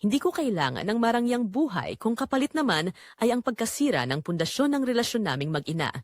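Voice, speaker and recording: A woman with a Filipino accent reads dramatic first-person scripted narration as a storyteller, in an emotional, expressive way. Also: on the high side, quick pace, no room sound, clean studio sound.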